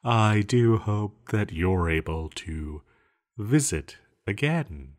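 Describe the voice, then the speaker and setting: Man playing a low, slow, seductive ancient villain. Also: animated. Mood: playful, coaxing. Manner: breathy sighs, lingering pauses, teasing. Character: ancient banished male villain, seductive, possessive, scheming dream entity. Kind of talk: intimate roleplay audio acting.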